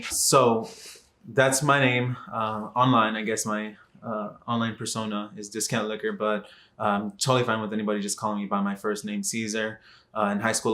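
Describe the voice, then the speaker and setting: A man's voice: fairly low pitch, a bit bright. A man in his twenties from Texas, talking casually to camera and rambling with many fillers and restarts. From Dallas, Texas. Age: 20s. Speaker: vlogger.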